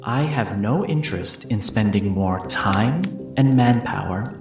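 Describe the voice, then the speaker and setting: A man acts out a proper, confident noble matriarch in a tabletop game. The delivery is slow and disdainful, with cold threat held under tight control. Music plays clearly behind. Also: fairly low pitch, animated, echoey room, far from the mic. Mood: cold, threatening. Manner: imperious, measured, disdainful.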